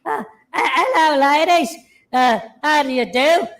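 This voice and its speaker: A man's voice: very high-pitched, a little slow, a bit bright, voice pushed up, full character voice. A man voicing a chirpy female kangaroo puppet with a put-on Australian accent, chatting with lots of hesitant fillers. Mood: cheery. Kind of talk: livestream puppet bit.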